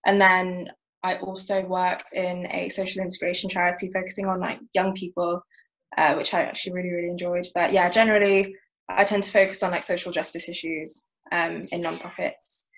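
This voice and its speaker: A young woman with a slightly low, quite flat voice. She talks informally as a panel guest, rambling a little as she goes. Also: a little fast, low-quality recording. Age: 20s. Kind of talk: casual self-introduction.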